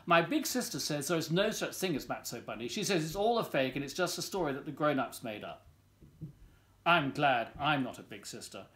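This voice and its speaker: A man in his sixties reading a children's storybook aloud in an expressive, deliberate storytelling manner, recorded in a living room at home. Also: a little high. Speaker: volunteer story reader.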